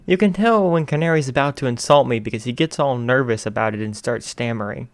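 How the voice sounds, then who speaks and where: A man putting on a booming, teasing radio host. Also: a little fast, dry recording, natural voice. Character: loud excitable male radio host.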